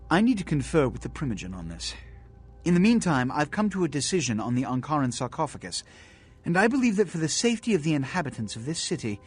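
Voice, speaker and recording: An actor playing a haughty, scheming vampire prince in a video game, speaking in a formal, commanding, theatrical way. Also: male voice, mid-range voice, close to an everyday voice. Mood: measured, formal. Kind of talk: video game character acting.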